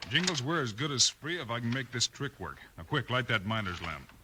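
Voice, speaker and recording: Heavy crackle and noise cover this 1950s radio western. A man acts a heroic lawman with a medium-pitched voice, calm and decisive, giving brisk, commanding orders. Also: lightly acted. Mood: brisk, urgent.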